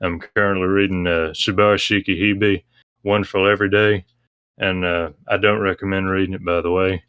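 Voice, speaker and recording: A man with a very low, clear voice talks as a guest in a casual interview. His tone is bright and crisp with almost no room sound, and he explains things in a hesitant, meandering way. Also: little pitch movement, fairly quick, no room sound, narrow, tinny audio. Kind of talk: casual interview chat about visual novels.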